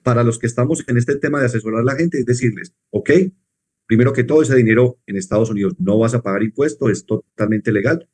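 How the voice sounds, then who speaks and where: A man working as a tax advisor, explaining rules in a measured way and answering his own questions. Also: medium pitch, darkish tone, husky. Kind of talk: explanatory conversation.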